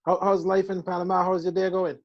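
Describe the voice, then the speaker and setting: A man's voice: high voice, flat delivery, brisk, dull audio. A man co-hosting a video chat, talking hesitantly and often repeating words. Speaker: co-host.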